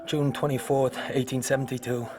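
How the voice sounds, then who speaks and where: An actor playing a tough, defiant young counterfeiter on the run in a radio drama, terse and unflinching. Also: male voice, medium pitch, level tone, a little fast, darkish tone, faint music behind, natural voice. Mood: defiant, cocky. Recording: clearly noisy background ambience.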